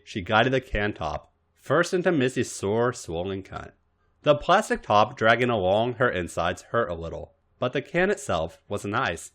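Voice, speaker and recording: A man reading fan fiction aloud as an expressive podcast narrator. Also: mid-range voice. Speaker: podcast host reading a story.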